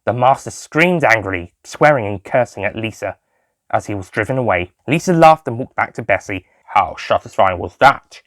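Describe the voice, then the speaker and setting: A man's voice: mid-range voice, expressive, a little fast, a bit bright, no room sound. A man reading scripted narration for an audio story as its narrator. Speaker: writer and narrator.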